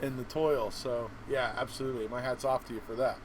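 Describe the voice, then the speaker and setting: A man's voice: mid-range voice, steady tone, a little fast, crowd noise. A man hosting a live stream in a casual, chatty style.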